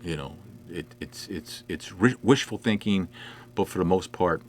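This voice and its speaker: Middle-aged guy rambling on a home livestream, lowish voice, lots of "you know"s. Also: unhurried, a little hiss or hum. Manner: conversational, rambling with many "you know" fillers. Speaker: livestream host answering viewer comments. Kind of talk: casual chat. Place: indoors at home.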